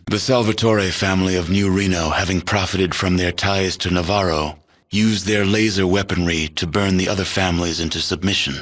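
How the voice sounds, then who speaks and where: A man narrating a video game as a grave, detached chronicler of a post-apocalyptic wasteland, in measured, matter-of-fact storytelling. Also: low voice, a bit bright. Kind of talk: scripted game ending narration.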